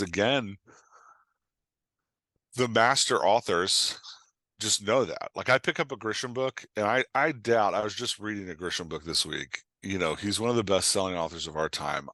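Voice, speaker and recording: A man's voice: lowish voice, bright, forceful tone, no room sound. A middle-aged man speaking as an author and writing coach, explaining and telling stories conversationally with an emphatic, opinionated manner. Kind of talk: animated conversational explanation and storytelling.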